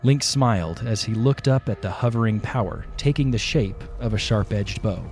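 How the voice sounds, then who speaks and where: A man narrating a scripted fantasy audio drama in a measured storytelling manner. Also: lowish voice, a little mellow, slight background noise. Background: background music.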